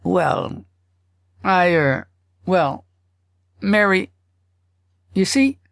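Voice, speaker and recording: Guy doing a flustered husband for an audiobook, hems and haws, high wobbly pitch, dry sound. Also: very slow, gravelly, a bit bright, no echo, heavily acted. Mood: flustered, evasive. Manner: hemming and hawing, stammering. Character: flustered, evasive husband caught hedging to his wife. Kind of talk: audiobook character acting.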